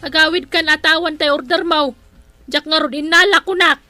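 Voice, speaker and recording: A woman's voice: sits high, fairly even intonation, normal speed, sharp tone, faint music behind. A woman playing a stubborn, indignant village woman in an Ilocano comedy drama, with an Ilocano accent and an exclaiming way of talking. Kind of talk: Ilocano comedy radio-style drama acting.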